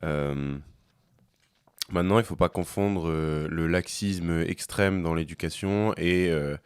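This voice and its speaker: A man's voice: low voice, slow, clean tone, no echo, clean studio sound. A man with a head cold chatting casually as a podcast co-host, hesitant and full of fillers and restarts. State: has a cold. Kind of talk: casual chat over a meal.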